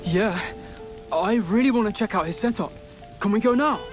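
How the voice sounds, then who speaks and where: A man's voice: sits high, moderate pace, soft background music, lightly acted. A man playing a curious, eager, slightly unsure youngster in a video game cutscene.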